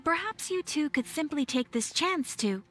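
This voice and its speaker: A woman playing a calm, polite female guild receptionist in a video game, courteous and formal. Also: sits high, moderate pace. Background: game music.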